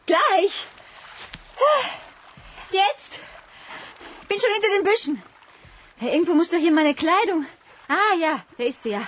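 A woman's voice: extremely high pitch, expressive, a little slow, long pauses, a bit bright, heavily acted. A woman playing a coy, playful worker in a radio play, speaking coaxingly. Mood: bright, then puzzled. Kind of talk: 1980s German radio play acting.

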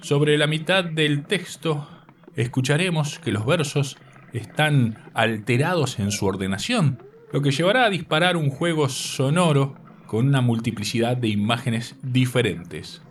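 A man presenting a scripted radio segment in a measured, didactic manner. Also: mid-range voice, animated. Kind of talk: scripted narration. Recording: distant mic with faint noise.

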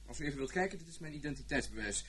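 Slyly polite detective, very animated. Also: male voice, fairly high pitch, very fast. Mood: smugly polite. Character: brisk, cunning male fraud detective, slyly polite. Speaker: actor in a radio play. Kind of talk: Dutch radio play acting. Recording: noisy old radio play recording, clicks.

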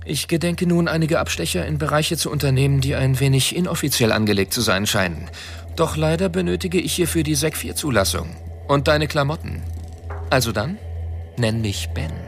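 An actor playing a rebellious, sardonic earthling with a mission in a science fiction radio drama, speaking in a smug, theatrical manner. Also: male voice, fairly high pitch, normal speed, slight background noise, lightly acted. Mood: triumphant, mocking.

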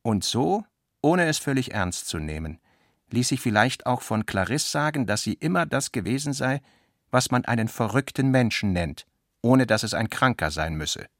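A man narrating literary prose for a radio play in a measured, deliberate manner. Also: mid-range voice, lively intonation, no room sound, clean studio sound. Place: dry studio.

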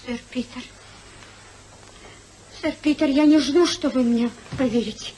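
An actress playing a proud, spirited young society wife, dignified and emphatic, in a 1950s radio comedy. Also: female voice, high voice, unhurried, warm tone, rough recording. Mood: remorseful, earnest. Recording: hissy 1950s radio play recording.

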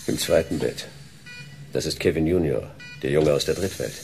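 An actor playing a hard-boiled, relentless private detective in a sci-fi radio drama, terse, stern and dry. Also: male voice, extremely low pitch, moderate pace, vocal fry, darkish tone, soft background music. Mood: dry narrating. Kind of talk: radio drama acting and noir narration.

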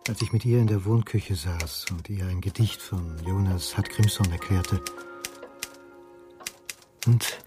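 A man's voice: on the low side, close to an everyday voice. An actor playing a wistful, tender man remembering his lost love in an intimate radio drama monologue. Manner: intimate, reminiscing.

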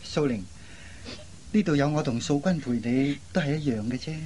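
A woman voicing a gentle, concerned young man in a radio drama, with an earnest, solicitous manner. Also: low-pitched, normal speed, close to an everyday voice. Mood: soothing. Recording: faint background noise.

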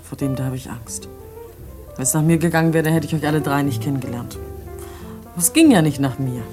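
Radio drama fallen girl, played by an actress: deep for a woman, disillusioned, defiant, bitter, plucked guitar underneath. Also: brisk, close to an everyday voice. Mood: bitter, scornful. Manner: weary, scornful, defiant. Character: bitter, disillusioned young female drug addict, scornful. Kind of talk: mid-century German radio drama acting.

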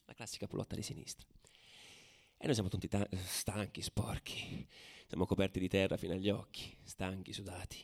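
A man's voice: low voice, level tone, fairly quick, gravelly. A man playing a furious, worn-out young football ultra in a dramatic radio theatre monologue. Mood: weary, tense. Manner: swinging from hushed telling to shouted frenzy. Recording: drum music behind the voice.

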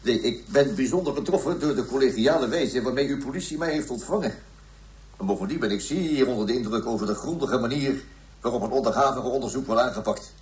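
An actor playing a pompous, evasive police inspector who talks in stiff officialese in a radio play. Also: male voice, mid-range voice, fast talker, close to an everyday voice. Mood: self-satisfied. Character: pompous, self-important male police inspector giving an interview. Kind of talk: radio drama acting. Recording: roomy, echoing radio play recording.

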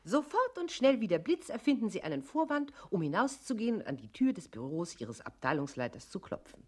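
An actress in an experimental radio play, voicing a formal, declarative figure who issues looping office instructions. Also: female voice, medium pitch, big pitch swings, fairly quick, slightly rough. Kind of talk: experimental German radio play, scripted recitation.

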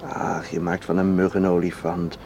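An actor in a Dutch radio play, playing a devoted, calmly persuasive man who keeps secrets. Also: low voice, moderate pace, background noise. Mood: reassuring. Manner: calm, gently insistent.

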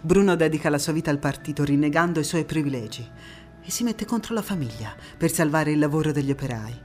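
Woman acting young partisan heroine, low voice, passionate, theatrical storytelling, emphatic, music underneath. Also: expressive, fast, darkish tone. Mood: reminiscing. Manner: dramatic, emphatic delivery. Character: passionate young woman anti-fascist partisan recounting her war.

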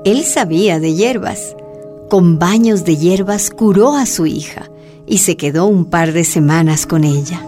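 A woman's voice: fairly low pitch, measured pace, warm tone, soft background music. A woman narrator reading the scripted narration of a radio drama.

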